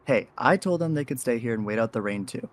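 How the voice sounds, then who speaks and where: An actor playing a kindly, soft-hearted faceless figure in an audio drama, with an easygoing and reassuring way of talking. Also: mid-range voice, animated, fast talker, close to an everyday voice. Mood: protective.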